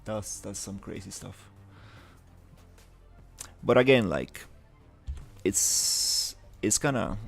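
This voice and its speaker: A young man from Rica, chatting casually on a live stream, halting, with many fillers and restarts. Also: lowish voice, vocal fry. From Costa Rica. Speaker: livestreamer.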